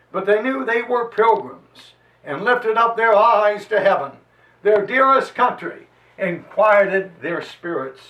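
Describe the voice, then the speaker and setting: A man giving a dramatic historical reading and lecture with theatrical, deliberate emphasis. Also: sits high, highs cut off. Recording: echoey room, distant microphone.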